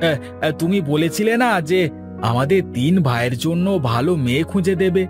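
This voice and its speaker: A man's voice: a little high, expressive, fairly quick, over music, lightly acted. A man voicing a bossy but well-meaning eldest brother of a village household in a cartoon, speaking with authority. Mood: decisive. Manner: authoritative, decisive. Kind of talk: cartoon dialogue.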